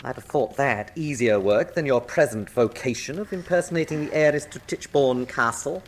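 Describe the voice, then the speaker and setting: An actor playing an urbane, sardonic villainous colonel in a radio drama, suave, theatrical and mocking in manner. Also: male voice, medium pitch, normal speed, natural voice. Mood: angry, sneering.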